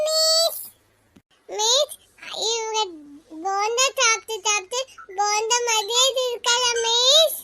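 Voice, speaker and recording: A woman voicing an excitable, childish little schoolgirl in a cartoon classroom, with a sing-song way of boasting and complaining. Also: very high-pitched, heavily acted. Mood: teasing, sulky.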